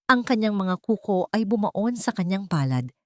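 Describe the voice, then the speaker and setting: A woman narrating a scripted drama story in a solemn, deliberate, dramatic way. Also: mid-range voice, expressive, no room sound, studio quality.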